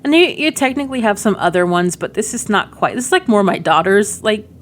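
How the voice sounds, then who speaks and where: A woman's voice: mid-range voice, expressive, fairly quick, slight background noise. A middle-aged woman giving casual, rambling game demo commentary with cutesy slang. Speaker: gaming video creator.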